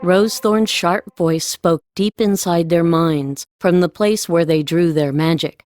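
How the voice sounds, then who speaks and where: A woman's voice: lowish voice, unhurried, darkish tone, no echo, clean studio sound, soft background music. A woman reading scripted storybook narration as an audiobook narrator.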